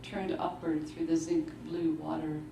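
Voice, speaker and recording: Woman, low-pitched, very slow measured literary reading, roomy live venue, distant mic. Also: flat delivery, gravelly, background noise. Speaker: author reading at a book launch. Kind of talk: literary reading of short fiction. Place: live book launch in an indoor venue.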